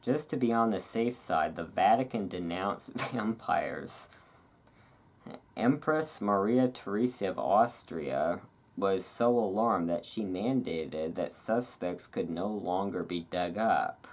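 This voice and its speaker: A host giving a relaxed ASMR read-aloud, drifting into casual, musing asides. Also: low voice, takes it slowly. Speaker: host reading and commenting on a magazine.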